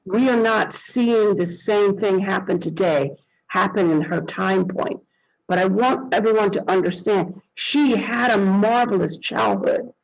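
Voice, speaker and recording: A man with a high voice hosts an interview over a video call. He speaks slowly and emphatically, leaning into a preacherly cadence as he introduces his guest. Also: creaky, low-quality recording. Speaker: host.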